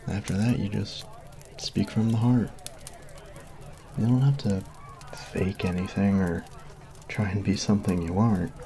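A man's voice: fairly low pitch, dark tone, slight background noise. A man voicing a sweet, goofy college jock in an ASMR roleplay, earnest and flustered, close on a binaural mic. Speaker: voice actor.

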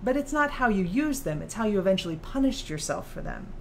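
A probing, composed female author acted by a woman lectures and challenges with precision at a middling pitch. Insistent audiobook dialogue, recorded with noticeable background noise. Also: big pitch swings, brisk, creaky.

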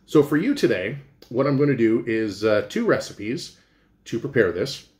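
A man's voice: mid-range voice, animated, moderate pace, a little mellow. A middle-aged man, a chef giving an informal, folksy cooking demonstration and explaining as he goes. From Canada. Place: small indoor room with hard walls. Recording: echoey room.

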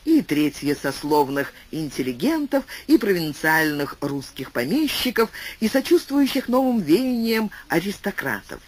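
Husky, lively synthetic male reader. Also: on the high side. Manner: reads quotation marks aloud word for word. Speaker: audiobook narrator. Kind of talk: reading an essay aloud.

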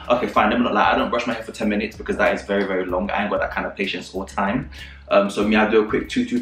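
A man in his thirties talks straight to the camera in a casual advice vlog, animated and fond of repeating his points. He sits a little back from the mic in a small echoey room, with faint music underneath. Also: medium pitch, far from the mic. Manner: animated, gestures a lot, repeats phrases for emphasis. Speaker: property channel host.

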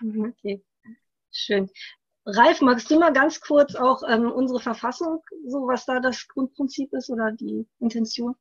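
A woman's voice: fairly high pitch, a little slow, breathy edge. A woman moderating a video call discussion, handing the word to guests with brief, inviting prompts. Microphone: laptop or webcam mic on a video call. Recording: dull lo-fi video call audio.

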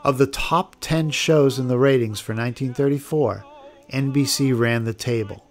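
A man's voice: medium pitch, normal speed. American man narrating over music. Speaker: documentary narrator. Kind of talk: scripted narration.

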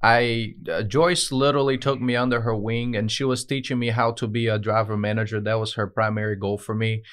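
A man in his thirties from Brazil with a Brazilian Portuguese accent, a guest telling his story in a studio interview with many "uh" pauses. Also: on the low side, level tone, a bit bright, clear, resonant tone. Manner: earnest, with frequent "uh" and "um" pauses. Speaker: guest, dispatch manager. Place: podcast studio with branded backdrop. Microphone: desk podcast mic on a stand.

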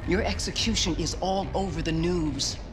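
A woman's voice: on the low side, moderate pace, noisy background, close to an everyday voice. An actress playing a tough, foul-mouthed, commanding American resistance leader in her forties, with swaggering, emphatic phrasing. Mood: commanding, sly. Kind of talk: cinematic game acting. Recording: roomy, music underneath.

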